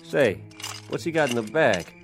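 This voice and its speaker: A man's voice: medium pitch, animated, normal speed, faint music behind, lightly acted. An actor playing a firm, no-nonsense policeman in an old-time radio drama, with a brusque official manner. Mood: suspicious, firm.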